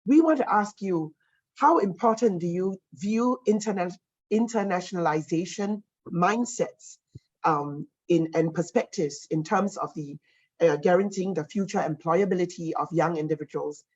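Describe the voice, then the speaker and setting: Female panel moderator, slightly husky and low, polite and formal, lo-fi sound. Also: moderate pace, a little mellow. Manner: polite, formal questions with fillers.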